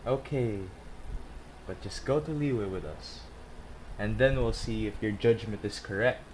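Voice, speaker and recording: A woman's voice: extremely low pitch, measured pace, noisy background. A woman doing casual game commentary as a streamer, reacting and chatting back to the characters. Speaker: gaming streamer. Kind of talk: casual game playthrough commentary, reading dialogue aloud.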